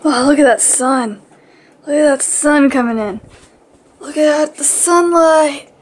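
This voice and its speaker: A woman's voice: high-pitched, measured pace. A woman vlogger talking casually, as if to viewers of her daily vlog.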